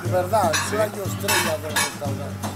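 A man's voice: sits high, moderate pace. An older man from Spain, a restaurant chef chatting and joking while he cooks in his kitchen. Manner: jovial, joking, storytelling. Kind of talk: casual chat while cooking. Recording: loud background music.

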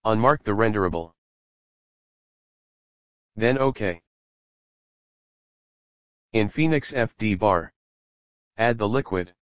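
A man narrating a software tutorial voice-over in short, clipped step-by-step instructions with simple grammar. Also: fairly low pitch, expressive, slow, long pauses, no echo, highs cut off.

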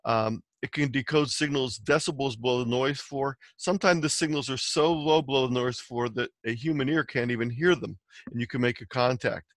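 Man, medium pitch, explanatory slide talk, matter-of-fact, instructional, dry close recording. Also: a little fast, no echo. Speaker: amateur radio presenter. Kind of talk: slide presentation, explanatory lecture.

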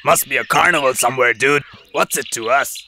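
An actor voicing a lazy, sneering cartoon wolf with a slangy, dismissive manner. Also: male voice, mid-range voice, brisk, lightly acted. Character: sceptical, lazy, sneering male wolf sidekick. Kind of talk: animated cartoon character acting. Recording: faint noise with birdsong.

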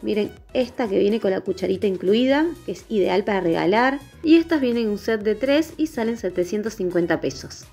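A woman's voice: medium pitch, animated, a little fast, hardly any pauses, dark tone, slightly rough. A woman narrating a shopping vlog in voice-over. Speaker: vlogger. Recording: dull lo-fi voice-over with music. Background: background music.

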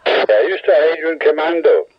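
A man's voice: fairly high pitch, animated, fairly quick. A British man in friendly, casual chat as a radio operator, heard through a CB radio speaker. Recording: dull band-limited CB radio transmission.

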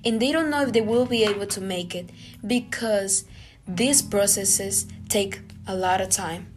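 A woman's voice: mid-range voice, a little slow. Earnest young woman from Guatemala. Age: young adult. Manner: earnest, steady reading. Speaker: student podcaster. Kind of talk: scripted reading. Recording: guitar music underneath.

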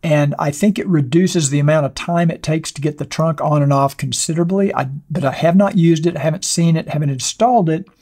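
An older man with a hoarse voice, hosting a motorcycle channel and answering viewer questions in a rambling, casual way, seated at a desk in a small studio with a clip-on mic. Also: on the high side, brisk, few pauses, a little mellow. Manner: rambling, conversational, many fillers and restarts. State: hoarse, losing his voice. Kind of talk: casual talk to camera answering viewer comments. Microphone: wireless clip-on mic.

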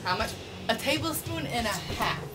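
A woman's voice: fairly high pitch, expressive, over music. A young woman playfully hosting a homemade cooking show in a small home kitchen, teasing and bursting out now and then. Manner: bubbly, teasing, quick outbursts.

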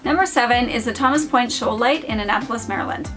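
Woman in her forties, fairly high voice, bright scripted narration over clear background music. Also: fast talker. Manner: upbeat, enthusiastic descriptive narration. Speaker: host of a lighthouse channel.

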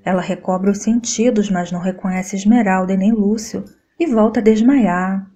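Mellow woman narrating a recap. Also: medium pitch, normal speed. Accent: Brazilian Portuguese. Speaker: soap opera recap narrator. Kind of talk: scripted plot summary narration. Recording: voice-over with faint guitar music behind.

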